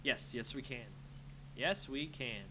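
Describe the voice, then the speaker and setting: A man's voice: medium pitch, takes it slowly. A man giving live gameplay commentary, thinking aloud in a casual, reactive, muttering way as he streams a card game. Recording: clear background noise with hum.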